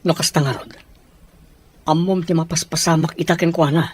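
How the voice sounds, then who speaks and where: A man's voice: on the high side, fairly even intonation, moderate pace, husky, no echo. A man playing a troubled young husband, questioning insistently in an audio soap drama. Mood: uneasy, insistent.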